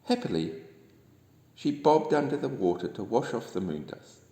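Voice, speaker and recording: A man reads a children's bedtime story in a gentle, expressive way. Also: mid-range voice, normal speed. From New Zealand. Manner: gentle, expressive, addressing young listeners. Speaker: storybook reader. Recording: echoey room with long reverb.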